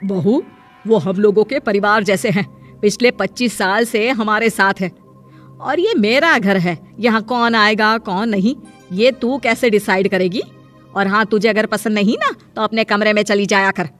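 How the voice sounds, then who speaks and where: A woman's voice: mid-range voice, moderate pace, fairly bright tone, soft background music, lightly acted. A woman playing a proud, domineering middle-aged mother-in-law who scolds pointedly in a cartoon family drama. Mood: stern.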